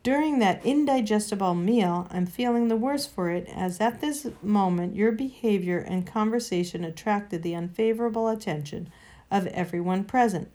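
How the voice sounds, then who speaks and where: A woman's voice: medium pitch, normal speed, mellow, close to an everyday voice. A woman doing a pompous, indignant old uncle. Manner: pompous, aggrieved, long-winded.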